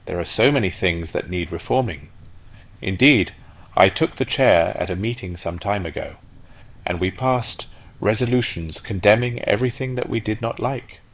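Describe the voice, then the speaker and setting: A man's voice: lowish voice, moderate pace, poor audio. A man voicing a peaceable, chatty female duck with a raised, quacking voice.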